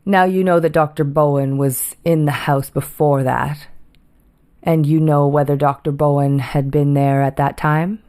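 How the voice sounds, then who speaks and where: Woman playing a low, mellow, persistent defense attorney. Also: fairly even intonation, a little slow. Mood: pressing. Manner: formal, pressing questioning. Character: persistent, probing male defense attorney at 1890s trial. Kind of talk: audio reenactment of a court transcript.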